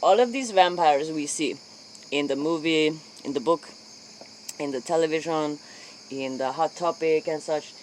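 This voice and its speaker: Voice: mid-range voice, moderate pace, darkish tone, rough recording. Spoof talk-show interviewer, mid-range voice, inquisitive, foreign-sounding broken English, faint night-time insect noise behind. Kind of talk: role-play spoof late-night interview.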